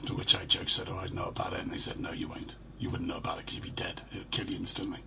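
A middle-aged British man talks casually to his camera in a low, heavily creaky, croaky voice. His delivery is halting and downbeat, like a personal diary. The home recording carries clear noise. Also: flat delivery, sharp tone. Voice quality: croaky, gravelly. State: hoarse. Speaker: vlogger. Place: small furnished room at home. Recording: noisy home phone or webcam recording.

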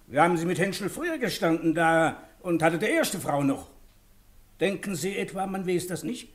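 An indignant village man in a 1960s radio drama. His voice sits rather high, and his manner is rustic, blunt and accusing. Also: lively intonation, moderate pace. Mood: defiant.

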